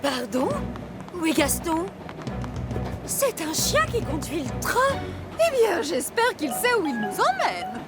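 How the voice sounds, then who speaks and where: Une actrice de doublage français jouant une femme expressive et vite inquiète dans un dessin animé. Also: female voice, very high-pitched, slow, heavily acted. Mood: alarmed. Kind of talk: French cartoon dub. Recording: loud music behind the voice.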